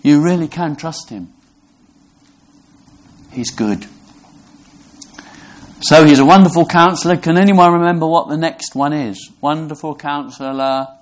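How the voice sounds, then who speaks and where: A man from Brixham, England, preaching a sermon in an earnest, halting way, often restarting his words. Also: on the high side. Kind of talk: sermon to a congregation.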